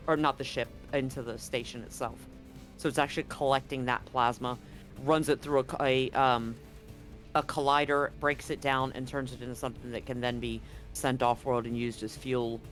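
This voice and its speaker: A man's voice: mid-range voice, fairly bright tone. A man working as game master, narrating a tabletop roleplaying game in a conversational, explanatory way. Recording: music playing under the voice. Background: background music.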